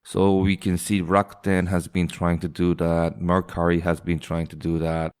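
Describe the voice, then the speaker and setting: A man's voice: low voice, monotone, moderate pace, a little mellow, clear, resonant tone. A man who grew up in Singapore, a startup founder chatting casually as an interview guest and often restarting his phrases. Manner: restarts phrases, quotes others in a lighter voice.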